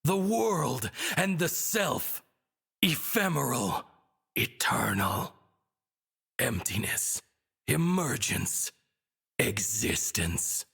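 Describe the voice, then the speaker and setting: A man playing a vain, empty evil god in a video game, declaiming solemnly and pompously in a husky, gravelly voice. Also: mid-range voice, sing-song, a bit bright, full character voice. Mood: solemn, ominous. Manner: slow, incantatory, pompous declamation. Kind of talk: theatrical video game boss monologue. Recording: game music behind the voice.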